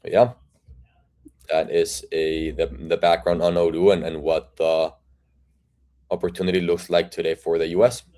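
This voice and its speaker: This is a man ending his part of a presentation. His voice is extremely deep, dark and flat. He talks slowly and with some hesitation.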